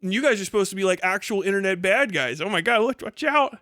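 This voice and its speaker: A man in his thirties ranting casually to a livestream chat, swearing freely in a mocking, animated way. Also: high voice, fast talker, dry recording, studio quality.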